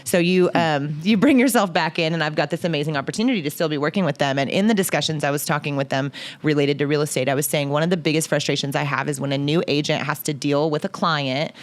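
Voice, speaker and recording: A middle-aged American woman hosting a casual interview podcast, chatting in an animated, opinionated, joking way. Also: sits low, quick pace. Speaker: podcast host.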